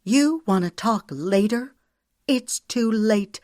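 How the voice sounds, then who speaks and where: Woman reading an embittered young Southern wife, Southern US accent, audiobook delivery, voiced higher and sharper than the narration. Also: a little slow, dry recording, clean studio sound, natural voice. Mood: bitter. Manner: impassioned, sharp and raised.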